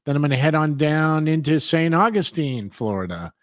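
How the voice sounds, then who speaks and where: Lively, joking male co-host. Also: fairly high pitch, moderate pace, no room sound, clean studio sound. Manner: joking, teasing, laughs often. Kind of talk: casual chat.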